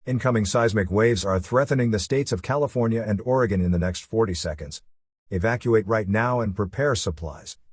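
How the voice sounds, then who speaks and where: A man reading a scripted emergency broadcast as an announcer, in a formal and measured manner. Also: on the low side, darkish tone, husky.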